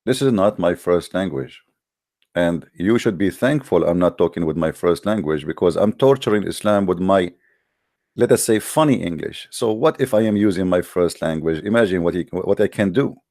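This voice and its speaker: Low-voiced man. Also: expressive, normal speed, darkish tone, no room sound, studio quality. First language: Arabic. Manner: hesitant, many fillers and restarts, firm and challenging. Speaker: host of a live call-in debate show. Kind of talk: live call-in show monologue.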